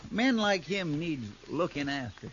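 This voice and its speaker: Loyal, simple-hearted deputy, folksy drawl, hissy old radio. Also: male voice, a little high, animated, unhurried, a bit bright, low-quality recording. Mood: earnest. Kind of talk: 1950s radio drama acting. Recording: old radio recording with clear hiss.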